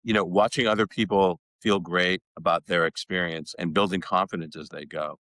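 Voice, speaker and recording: A man's voice: sits low, steady tone, moderate pace, dry recording. A man in his sixties, originally from Boston, telling stories of his career as a guest in a reflective way, often repeating words. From Boston, United States. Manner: warm and reflective, with repeated words and "you know". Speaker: guest, educational consultant. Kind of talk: conversational storytelling.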